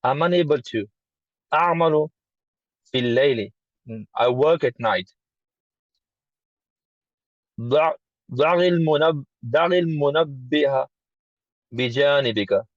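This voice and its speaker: A man studying Arabic, reading aloud and translating in a halting, tentative way, often repeating words. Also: fairly high pitch, no room sound, muffled recording. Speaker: student of Arabic.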